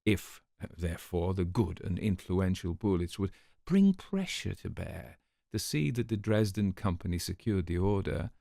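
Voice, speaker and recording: A man's voice: low voice, lively intonation, a little slow, vocal fry, dark tone, dry recording, heavily acted. An actor playing an urbane, sardonic older man telling a story in a radio drama, with dry irony and precise wording. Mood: dry amusement.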